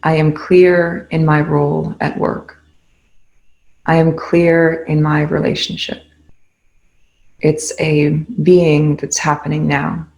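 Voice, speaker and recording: Female meditation leader: calm and unhurried, very little pitch movement, noticeable vocal fry. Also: poor audio. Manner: calm, unhurried, gently instructive. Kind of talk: guided meditation, slow spoken instruction.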